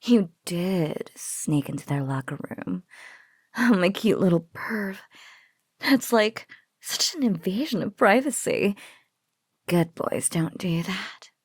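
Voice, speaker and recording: A close, dry, breathy female spider monster acted by a woman in an intimate audio roleplay. She coos slowly and possessively over her captive, teasing and doting, her drawl often sinking into whispers. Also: mid-range voice, expressive, dry recording. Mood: teasing, amused. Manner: teasing, drawling coo with giggles.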